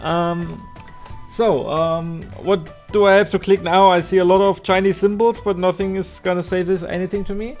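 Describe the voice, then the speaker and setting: Higher-voiced German male let's player, music behind. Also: steady tone, normal speed, darkish tone. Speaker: host of a gaming channel. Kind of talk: casual let's play commentary.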